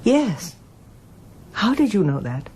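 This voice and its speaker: A woman's voice: medium pitch, very animated, slow, a little mellow, a little hiss or hum. An actress playing a reserved, wistful older woman, a former pianist, in a radio drama, speaking with hesitant understatement. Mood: subdued, confiding. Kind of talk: radio drama acting, monologue and dialogue.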